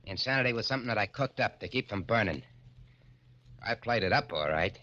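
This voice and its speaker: An actor playing a tough, jumpy escaped killer in a 1940s radio crime drama, talking in insistent, uneducated street slang. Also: male voice, fairly low pitch, animated, a little fast, pushed and bright. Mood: confessional, narrating. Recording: dull old radio recording, music behind.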